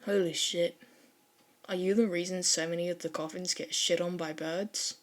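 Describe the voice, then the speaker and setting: A woman voicing a laid-back, dry teenage boy with a casual, deadpan manner in an audio fan story. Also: on the low side, moderate pace, lightly acted. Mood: deadpan awe.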